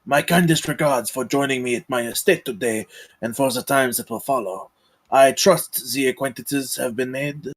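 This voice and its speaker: A man playing a grave, courtly count in a screenplay table read, speaking formally and with measured solemnity. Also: mid-range voice, natural voice. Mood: formal, commanding. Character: commanding, grave, courtly count leading a supernatural hunt.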